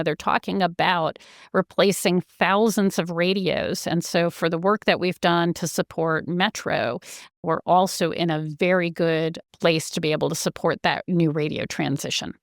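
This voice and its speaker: Lower-pitched woman, earnest interview guest. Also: no echo, clean studio sound. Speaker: company chief executive, interview guest. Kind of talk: explanatory interview talk.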